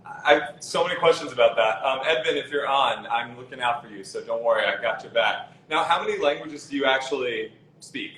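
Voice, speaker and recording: A man's voice: medium pitch, fairly quick, hardly any pauses, a bit bright. A man hosting a casual livestream interview in an encouraging, complimentary way, over a video call. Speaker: interviewer host. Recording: roomy echo, noisy video call.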